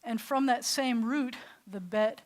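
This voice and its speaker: A woman rabbi teaching a beginners' Hebrew class in a loose, chatty way, often restarting her sentences and sounding words out slowly. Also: a little high. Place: classroom or congregation hall.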